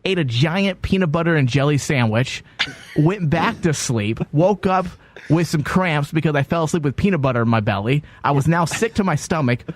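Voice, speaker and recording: A man's voice: on the high side, animated, normal speed. A young man hosting a casual comedy chat show, sick and nasal, rambling and joking. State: sick, stuffy nose. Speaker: podcast host.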